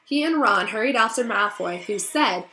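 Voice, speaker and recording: A woman teacher speaking to children in an encouraging, affectionate way, in the expressive style of a storybook read-aloud. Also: on the high side, normal speed. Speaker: teacher reading aloud to pupils.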